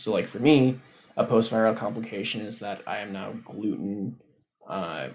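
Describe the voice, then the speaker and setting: A man hosting a science livestream, casually explaining a research paper as he reads, in a halting, informal way. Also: on the low side, low-quality recording. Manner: halting, informal, thinking aloud. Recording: noticeable background noise on livestream.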